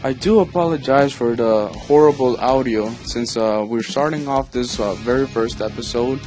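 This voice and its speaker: A man's voice: medium pitch, normal speed, a little mellow. A man in his twenties from Honduras, hosting a casual solo podcast and rambling with many fillers and restarts, recorded on his phone. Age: 20s. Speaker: podcast host. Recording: dry phone recording with faint music.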